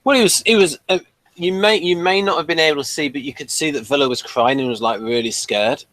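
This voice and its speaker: A man's voice: on the high side, brisk, fairly bright tone, no room sound. Man hosting a review, dry close sound. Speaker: podcast review host. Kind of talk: reading an episode summary aloud and chatting.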